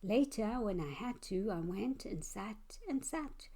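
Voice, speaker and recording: A woman reading a rhyming children's story aloud in a deliberate, expressive storytelling manner. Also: mid-range voice. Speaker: storybook reader. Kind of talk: scripted children's read-aloud, rhyming narration.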